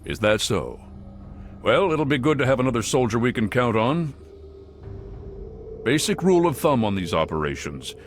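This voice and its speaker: An actor voicing a gruff veteran soldier giving clipped, no-nonsense orders in a video game. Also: male voice, medium pitch, sing-song, normal speed, long pauses, a little hiss or hum, lightly acted. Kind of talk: video game cutscene dialogue.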